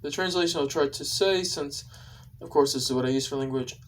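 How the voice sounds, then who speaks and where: A man casually explaining his video to viewers, with halting, repetitive phrasing. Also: fairly high pitch, distant mic, slight background noise. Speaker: video creator.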